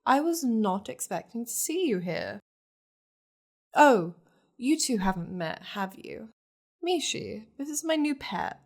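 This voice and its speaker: A woman's voice: on the high side, big pitch swings, slow, creaky, exaggerated voice. A woman voicing a possessive, wealthy demon lady in an intimate ASMR roleplay, flirtatious, teasing and commanding with haughty endearments. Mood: gracious, haughty.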